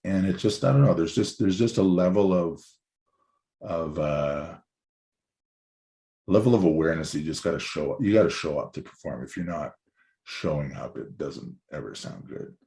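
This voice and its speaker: Deep gravelly hoarse man. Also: lively intonation, normal speed, warm tone. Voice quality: raspy. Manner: rambling, loose, swears casually. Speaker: musician guest. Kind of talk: casual chat.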